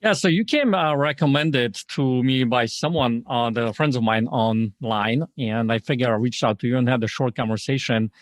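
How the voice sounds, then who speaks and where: A man's voice: medium pitch, moderate pace, few pauses, no room sound. Man hosting a motivational podcast, dry recording. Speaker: podcast host. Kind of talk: upbeat podcast introduction and interview.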